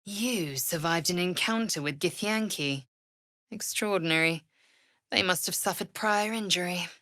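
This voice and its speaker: A woman playing a fierce, proud alien warrior in a video game, speaking in a haughty, clipped, formal manner with a husky edge. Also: fairly low pitch, measured pace, sharp tone, dry recording, clean studio sound. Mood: proud, stern. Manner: imperious, clipped, formal phrasing. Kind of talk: video game character acting.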